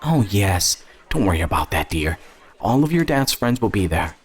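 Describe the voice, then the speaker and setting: A man's voice: low voice, very animated, normal speed, a little hiss or hum. A man voicing a kindly, affectionate older small-town woman who works at a hospital, in a light, motherly, fussing manner for an audio story. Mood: warm, fussing.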